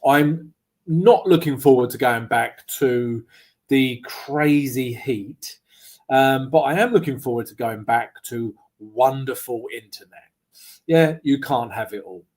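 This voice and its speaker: A man with a slightly croaky voice, hosting a live trading stream in a lively, joking, chatty way. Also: mid-range voice, slow pace. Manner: lively, joking, chatty with viewers, frequent "right?" and "guys". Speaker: forex trading livestream host. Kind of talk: casual live trading chat. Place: home setup abroad, streaming live.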